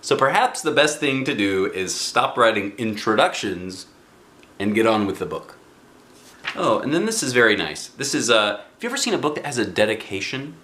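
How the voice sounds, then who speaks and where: A man reading a children's book aloud in an expressive way, speaking directly to young listeners. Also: on the low side, normal speed, echoey room. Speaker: storybook reader.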